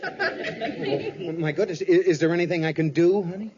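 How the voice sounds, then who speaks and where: A man's voice: sits high, very animated, normal speed, a faint crowd, slight background noise. A man playing an earnest, solicitous husband who frets and hesitates in a 1950s radio sitcom. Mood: worried. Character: solicitous, easily worried middle-class husband. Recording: muffled old radio recording, live audience.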